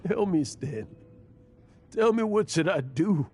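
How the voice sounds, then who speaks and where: A man's voice: high-pitched, lively intonation, measured pace, a little mellow. An actor playing a boastful male adventurer who hides his guilt, with theatrical, blustering video game delivery. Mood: drunken grief, anguished.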